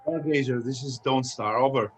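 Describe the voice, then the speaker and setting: A man's voice: medium pitch, moderate pace, soft background music, lightly acted, poor audio. A man playing a dutiful army sergeant at a command post in a screenplay table read, reporting formally and plainly. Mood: alert.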